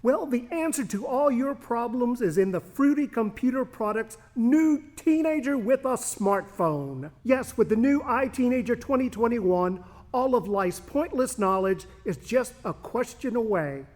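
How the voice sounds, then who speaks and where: An actress playing a peppy, easily exasperated pitchwoman in a parody radio commercial. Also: expressive, unhurried, a little mellow. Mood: upbeat selling.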